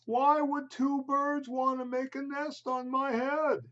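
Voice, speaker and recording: Give me a man playing a worried cartoon elephant who pleads and exclaims, slow and slightly muffled. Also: extremely high pitch, flat delivery, a bit bright, voice pushed up, exaggerated voice. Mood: anxious. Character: anxious fretful grown-up male elephant. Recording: slightly muffled home video audio.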